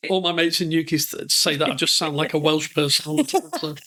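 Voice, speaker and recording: Middle-aged guy from the north of england, rambles and restarts, kinda high voice. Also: steady tone, fast, sharp tone. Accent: northern English. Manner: rambling, self-deprecating, frequent restarts. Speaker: podcast guest. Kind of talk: conversational storytelling.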